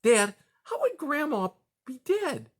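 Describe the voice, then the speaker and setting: A man's voice: very high voice, sing-song, takes it slowly, voice pushed up, full character voice. A man voicing a young male mouse, a curious boy who whispers puzzled questions. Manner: hushed and puzzled.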